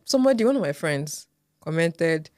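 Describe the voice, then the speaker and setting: Expressive Nigerian woman, swooping pitch. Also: normal speed, dry recording, studio quality. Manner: expressive storytelling with laughs. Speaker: podcast co-host. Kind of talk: casual chat.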